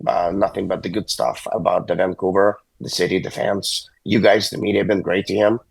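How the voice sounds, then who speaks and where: A man who is a hockey agent, hoarse and sick, calling in from a hospital and talking haltingly with many fillers. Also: low voice, level tone, no echo, low-quality recording. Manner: halting, many uhs and restarts. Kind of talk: phone-in interview. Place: hospital room. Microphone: phone call.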